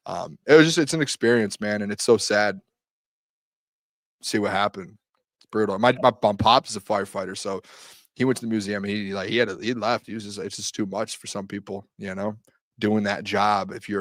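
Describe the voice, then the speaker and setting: A man's voice: on the low side, no room sound. A man in his late twenties co-hosting a sports stream, talking casually in a rambling way full of fillers. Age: 20s. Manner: rambling, filler-heavy, excitable. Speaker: sports show co-host. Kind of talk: casual live-stream chat.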